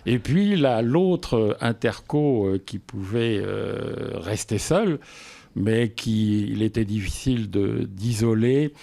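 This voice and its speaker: Low, mellow male local official. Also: animated, takes it slowly, creaky. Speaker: interview guest, local intercommunal president. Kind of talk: explanatory radio interview answers.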